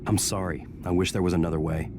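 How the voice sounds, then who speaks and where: An actor playing a grave, resolute man in a video game, giving a warning in a blunt, measured, serious way. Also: low-pitched, steady tone, background noise, natural voice. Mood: grave, regretful.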